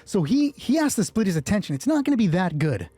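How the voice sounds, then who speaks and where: Mellow game master thinking aloud, very dry sound. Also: medium pitch, fast, breathy edge, no echo. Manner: sweary, excitable. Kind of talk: solo tabletop roleplay, thinking aloud while rolling dice and narrating.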